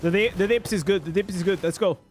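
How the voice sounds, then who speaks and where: A man with a high voice for a man streams a game live, narrating his play in English. He bursts into excited reactions over loot and chats casually with his viewers. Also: fast, faint music behind. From Portugal. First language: Portuguese. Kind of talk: live gameplay commentary.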